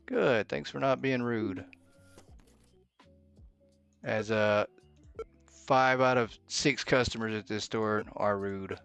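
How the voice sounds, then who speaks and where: A man's voice: on the low side, slow, creaky, long pauses, a bit bright, smooth, soft background music. A man giving live gameplay commentary as a streamer, thinking aloud and muttering to himself as he plays. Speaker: game streamer.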